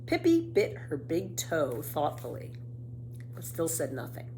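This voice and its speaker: A woman narrating a children's book expressively, with deliberate emphasis. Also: low-pitched, huge pitch swings, warm tone, slight background noise, low-quality recording. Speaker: storybook reader.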